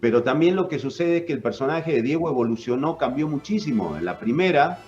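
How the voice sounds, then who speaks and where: Argentine man, northern-Córdoba accent, mid-pitched voice, patient explainer, muffled lo-fi sound. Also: animated, a little fast, darkish tone, faint music behind. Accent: Argentine, northern mixed with Córdoba. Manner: explanatory, with frequent fillers and "¿no?" tags. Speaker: voice actor and announcer. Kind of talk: radio interview guest.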